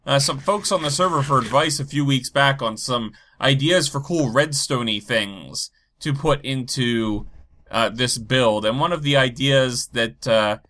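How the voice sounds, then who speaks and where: A man with a head cold, stuffy and a bit low energy, rambling as he talks through his game and thinks aloud. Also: unhurried, sharp tone. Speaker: Minecraft let's play host. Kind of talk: casual gameplay commentary.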